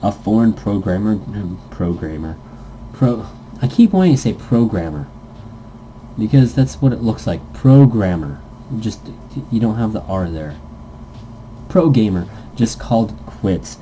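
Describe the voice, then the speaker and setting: A man's voice: fairly low pitch, lively intonation, a little slow, dark tone, slight background noise, low-quality recording. A man casually reading a visual novel script aloud as narration, stumbling now and then and correcting himself. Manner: stumbles over words, corrects himself, jokey asides.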